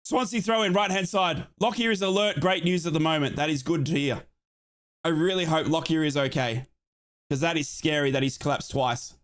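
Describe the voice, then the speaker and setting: A man hosting a live fan watchalong, calling a football match in excitable play-by-play and chanting. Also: fairly high pitch, normal speed. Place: home office.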